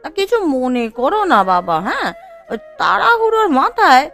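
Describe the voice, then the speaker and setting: Woman acting high-pitched, tender young village woman. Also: sing-song, moderate pace, creaky, fairly bright tone, full character voice. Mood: warm, respectful. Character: young village women, tender-hearted sisters and daughters in a family drama. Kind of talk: melodramatic cartoon voice acting. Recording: music behind the voice.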